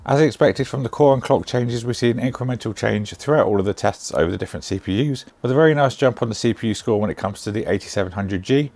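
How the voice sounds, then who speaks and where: Fast-talking gravelly young man reviewing tech. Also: mid-range voice, a little mellow, dry recording. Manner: brisk, chatty, spec-heavy explaining. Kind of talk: product review to camera. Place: indoor home studio with shelves.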